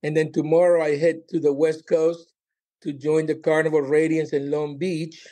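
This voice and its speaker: Higher-voiced man in his sixties, halting. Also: level tone, dark tone. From Colombia and Miami. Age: 60s. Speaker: podcast guest, stand-up comedian. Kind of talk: casual chat.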